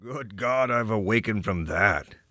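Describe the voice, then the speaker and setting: A man's voice: fairly low pitch, animated, a little slow, bright, forceful tone, no echo, studio quality, pitched below the performer's own voice. A man voicing an aging, cantankerous, proud American poet in a rambling dramatic soliloquy, with a crusty, musing, oratorical delivery and salty asides. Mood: wistful, reverent. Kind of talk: dramatic first-person literary soliloquy.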